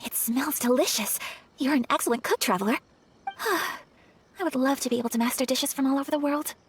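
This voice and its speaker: A woman playing a polite, refined young noblewoman in a video game, gentle, earnest and formally courteous. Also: high-pitched, brisk, a little hiss or hum, close to an everyday voice. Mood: pleased.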